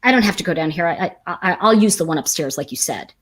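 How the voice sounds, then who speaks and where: Chatty, husky American woman. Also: lowish voice, expressive, quick pace. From United States. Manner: chatty, rambling with many restarts and fillers. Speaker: tarot teacher and host. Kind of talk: casual storytelling livestream.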